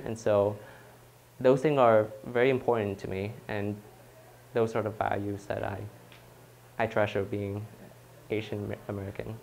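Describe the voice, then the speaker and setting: A young male student from California with a low-set voice speaks unprepared. He is thoughtful and deliberate, filling his many pauses with "um". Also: creaky, a little mellow, slight background noise. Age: young adult. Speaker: student interviewee. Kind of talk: unscripted reflective answers.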